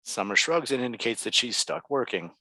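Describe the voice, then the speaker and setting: A man's voice: medium pitch, animated, fairly quick, sharp tone. A man reading stage directions as scripted narration at a table read.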